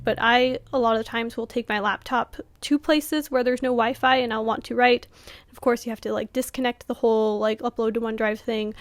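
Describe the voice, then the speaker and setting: A woman author talking casually to camera, full of fillers and small laughs, whose voice is going hoarse. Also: on the high side, fairly bright tone, no room sound. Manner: chatty, many fillers, laughs often. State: losing her voice. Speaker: author vlogger.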